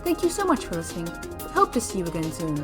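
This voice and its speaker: Animated woman announcer over music. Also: fairly low pitch, fast talker. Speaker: announcer reading credits. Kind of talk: scripted closing narration.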